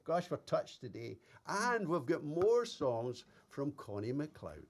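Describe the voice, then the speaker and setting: A man's voice: on the high side, big pitch swings, takes it slowly. A man hosting a live music stream, reading viewers' comments and giving effusive thanks and blessings. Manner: warm, effusive praise and blessings. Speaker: host.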